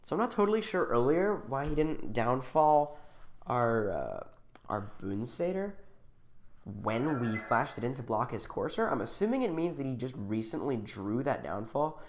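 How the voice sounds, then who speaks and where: A man with a lively, up-and-down voice talks through a card game as he plays, thinking aloud with plenty of ums and restarts. He is getting over a cold and sounds a little sniffly. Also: medium pitch, moderate pace. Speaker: channel host. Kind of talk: casual play-by-play card game commentary.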